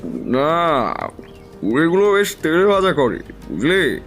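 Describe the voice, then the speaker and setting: An actor playing a seasoned, middle-aged tantric astrologer, reminiscing in a creaky, reflective way as in a dramatised audiobook. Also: male voice, fairly high pitch, very animated, takes it slowly, a bit bright, long reverb tail, faint music behind, exaggerated voice. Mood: amused, animated. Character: Taranath, seasoned Bengali tantric astrologer, easygoing storyteller.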